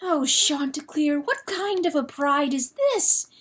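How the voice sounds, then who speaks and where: A woman's voice: sits high, sing-song, slow pace, a bit bright, voice pushed up, exaggerated voice. A woman voicing a loving, stubborn hen in distress, pleading and insistent, in a dramatic animal fantasy audiobook. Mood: keening, pleading.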